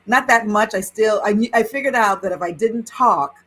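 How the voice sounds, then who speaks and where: A woman in her sixties, a priestess and interview guest, recounting her spiritual journey in an animated way with frequent laughter. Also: mid-range voice, normal speed. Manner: animated, laughs while telling a story. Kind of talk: personal storytelling in conversation.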